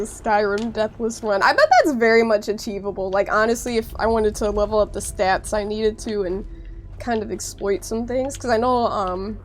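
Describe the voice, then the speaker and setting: A woman's voice: mid-range voice, a little hiss or hum. Congested woman chatting with stream viewers. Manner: chatty, talks straight to viewers with lots of "like". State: congested from a cold. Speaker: game streamer. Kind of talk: casual live-stream gameplay chat.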